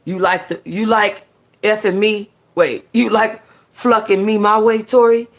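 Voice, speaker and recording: A woman's voice: medium pitch, fairly even intonation, measured pace. A woman voicing a blunt, slangy, streetwise and protective boyfriend in an audiobook-style story reading. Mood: lustful. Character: streetwise young man, lustful, protective boyfriend.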